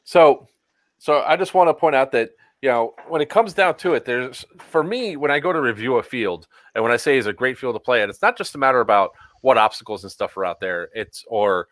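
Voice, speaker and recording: A blunt man in his forties talks in a dry, close-sounding voice over a video call, swearing freely. His pitch sits in an everyday middle range, and he speaks plainly and directly. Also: animated, a little fast, no room sound. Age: 40s. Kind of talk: casual podcast chat.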